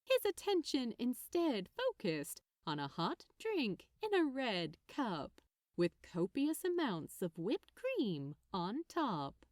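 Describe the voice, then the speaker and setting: A woman reading fiction aloud as narrator in an expressive storytelling style. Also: fairly high pitch, takes it slowly, dry recording, clean studio sound.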